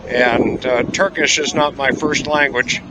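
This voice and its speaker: A man vlogging a travel tour outdoors at a port, talking casually with lots of "uh" pauses. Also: medium pitch, steady tone, noisy background. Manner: rambling, many "uh" pauses, easygoing. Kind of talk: casual travel vlog narration.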